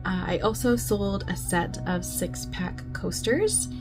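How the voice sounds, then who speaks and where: A woman's voice: mid-range voice, slow pace. A young woman vlogging casually and upbeat about her craft sales, with lots of ums. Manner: chatty, upbeat, many ums. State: losing her voice from a cold. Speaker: crochet vlogger. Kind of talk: casual vlog chat. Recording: clear background music.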